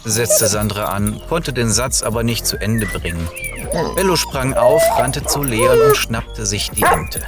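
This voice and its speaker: Man narrating a story, very lively pitch, music behind. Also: a little slow. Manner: animated, expressive reading. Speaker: audiobook reader. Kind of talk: dramatic short-story narration.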